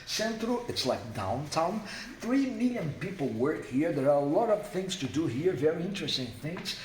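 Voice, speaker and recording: A man's voice: a little high, big pitch swings, moderate pace. A man from Rio de Janeiro with a Brazilian accent, a native Portuguese speaker, informally briefing guests in an emphatic, didactic way with short repeated statements. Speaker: accommodation host giving a city orientation. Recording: roomy, distant mic, faint background noise.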